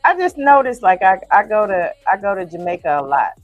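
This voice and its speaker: A woman's voice: mid-range voice, big pitch swings, brisk, no echo, lo-fi sound, faint music behind. An American woman hosting an internet radio interview. She asks questions casually, says "like" often, and restarts her sentences. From United States. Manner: peppers questions with "like", restarts them. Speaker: radio show host. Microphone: video call.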